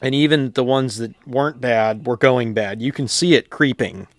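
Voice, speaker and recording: A man with a mid-to-low voice talks casually through hands-on repair work. He is plainspoken and unscripted, pausing to think aloud as he goes. The sound is dry and close, with little room in it. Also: no echo. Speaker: hobbyist radio repairer presenting his channel.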